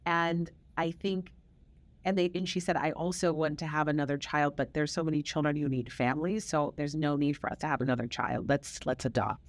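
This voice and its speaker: A middle-aged woman with a notably low voice hosts a conversation, sharing family history in a reflective, storytelling way. She stresses her points by repeating words. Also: fairly even intonation, a little fast. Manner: reflective storytelling, warm emphatic repetition. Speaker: podcast host. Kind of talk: casual conversational interview.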